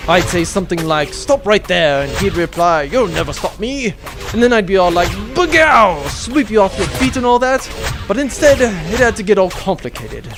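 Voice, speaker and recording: A man's voice: sits high, sing-song, normal speed, music in the background. A man playing a cocky man-child space pirate captain in a comedy audio drama, slangy, theatrical and rambling. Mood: theatrically peeved.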